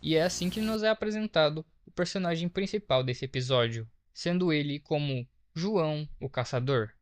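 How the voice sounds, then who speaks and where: A man's voice: on the high side, expressive, normal speed. A Brazilian man narrating a horror story recap as a video host, explaining it with informal asides. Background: faint to clear background music.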